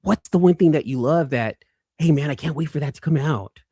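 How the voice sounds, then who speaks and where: Casual male livestreamer with a gravelly edge. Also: a little high, fairly quick, mellow, no room sound, clean studio sound. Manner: enthusiastic, informal geek-fan talk, often repeats phrases for emphasis. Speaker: livestream host. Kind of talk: casual livestream chat with viewers.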